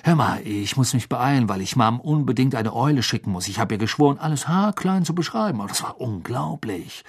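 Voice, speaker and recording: A man voicing a friendly, hurried young man in an audiobook, enthusiastic and rushing to pass on news. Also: medium pitch, expressive, slightly rough.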